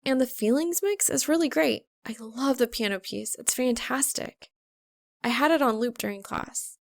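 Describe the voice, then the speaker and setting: A woman's voice: on the high side, normal speed, gravelly, no room sound. A woman voicing an earnest, flustered young female college student with a hesitant manner in a story reading. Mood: admiring. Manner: hesitant, breaking off mid-thought.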